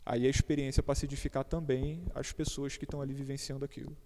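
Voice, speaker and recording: A man's voice: medium pitch, little pitch movement, quick pace, background noise. A Brazilian man explaining a church topic in a conversational, hesitant way, often repeating words and adding casual asides. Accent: Brazilian Portuguese. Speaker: church teacher on a panel. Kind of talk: conversational teaching. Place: church hall. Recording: long hall reverb.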